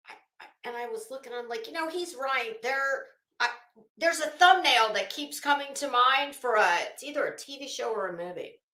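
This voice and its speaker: A woman's voice: a little high, pushed and bright. A woman hosting a casual livestream, rambling and interrupting herself as she hunts for words, with dramatic, emphatic storytelling. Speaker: livestream host. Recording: roomy, echoing room sound.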